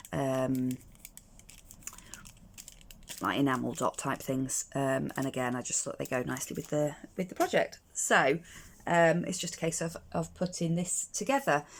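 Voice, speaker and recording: A middle-aged woman walks through her card making at a home craft table in a croaky, gravelly voice that sits low. She talks casually and hesitantly, pausing on lots of ums and restarting her sentences.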